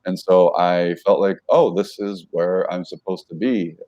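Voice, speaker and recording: Deep-voiced man telling his story. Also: fairly even intonation, unhurried, mellow, muffled recording. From United States. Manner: rambling, self-correcting, frequent fillers. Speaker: guest. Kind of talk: casual interview talk.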